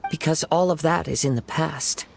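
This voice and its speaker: An actor playing a calm, perceptive young wandering samurai in a video game, speaking in a measured, earnest way. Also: male voice, fairly high pitch, vocal fry, a little hiss or hum. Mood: explaining, assured. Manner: measured, earnest, gently probing. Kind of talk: video game character acting.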